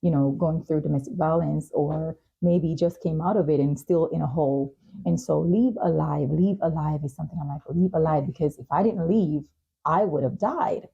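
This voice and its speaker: A woman hosting a podcast interview, speaking in an earnest, measured, reflective way with frequent pauses. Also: sits low, vocal fry, warm tone, breathy edge, muffled recording. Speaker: talk show host and advocate.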